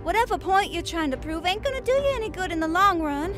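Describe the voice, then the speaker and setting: A sweet, wholesome young snow woman with a folksy twang. Her voice sits very high and bright, with a lively rise and fall. Faint music plays under this audio drama. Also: fast. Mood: earnest. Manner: folksy, gentle, earnest.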